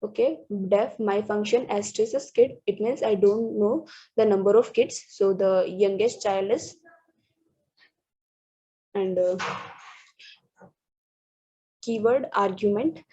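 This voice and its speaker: A woman walks through programming slides in a halting way, restarting words and using many fillers. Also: mid-range voice, dark tone, husky. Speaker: student presenter. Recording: dull lo-fi recording.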